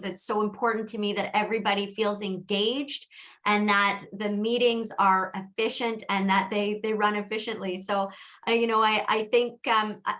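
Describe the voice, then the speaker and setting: Bright-voiced earnest Canadian woman candidate. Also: medium pitch. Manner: earnest, many fillers and restarts. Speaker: council candidate. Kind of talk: candidates' debate answer.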